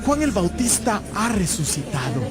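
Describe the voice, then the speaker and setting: A man playing an excited townsman, speaking emphatically in a dramatized audio Bible. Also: high voice, moderate pace, over loud music. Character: excited townsman spreading rumors.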